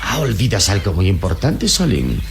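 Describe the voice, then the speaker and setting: An actor playing a calm, wise and fearless adventurer hero in a radio serial, speaking in a measured, reassuring, paternal way. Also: male voice, sits low, vocal fry, heavily acted. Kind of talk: Latin American radio serial acting. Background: dramatic background music.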